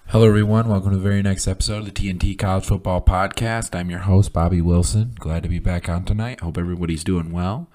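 Hoarse, very deep-voiced man. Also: steady tone, warm tone. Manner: halting, many ums and uhs, reads off lists of stats. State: hoarse, recovering from flu. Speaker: podcast host. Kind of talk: casual solo podcast talk.

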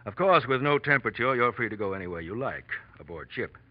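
An actor playing an affable, gently bossy ship's doctor in a 1940s radio drama, chatty and unhurried. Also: male voice, medium pitch, expressive, no room sound, natural voice. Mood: matter-of-fact. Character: affable, talkative male ship's doctor, quietly insistent. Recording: dull old radio recording.

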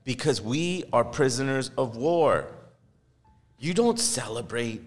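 A man's voice: mid-range voice, lively intonation, slow. Impassioned profane man, reverberant podcast. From Los Angeles. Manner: impassioned, profane, mocks others in quoted voices. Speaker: podcast host. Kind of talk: heated casual podcast talk. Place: podcast studio. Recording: long hall reverb, faint music.

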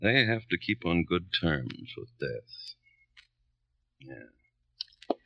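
Weary, low-voiced beat cop. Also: male voice, very animated, very slow, vocal fry, no room sound, heavily acted. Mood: guarded. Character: weary, fatalistic male barrio beat detective. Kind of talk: 1950s radio drama acting. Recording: dull old radio recording, music behind.

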